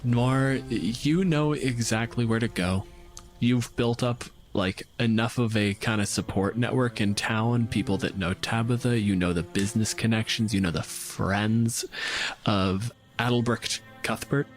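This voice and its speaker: A man narrating as game master of a tabletop game in an animated, casual way, ready to swear. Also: lowish voice, a little slow, soft background music.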